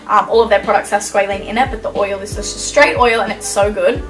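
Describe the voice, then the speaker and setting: A woman's voice: medium pitch, fairly even intonation, a little fast, fairly bright tone, far from the mic, soft background music, rough recording. A young woman in her twenties chatting enthusiastically about skincare products in her bedroom, with lots of fillers. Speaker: beauty vlogger. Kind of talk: casual product review. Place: bedroom, indoors.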